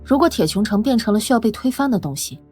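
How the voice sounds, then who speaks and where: An actress playing a wary, resolute young squad leader in an animated drama, firm and no-nonsense. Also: female voice, fairly high pitch, fast talker, breathy edge, faint music behind. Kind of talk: dramatic dubbed animated-comic dialogue.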